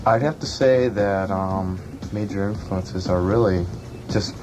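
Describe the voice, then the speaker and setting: A man with a fairly low voice answers casually and haltingly as a band member in an interview. The old eighties radio recording carries clear noise. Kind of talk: casual chat. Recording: noisy archival 1980s radio recording.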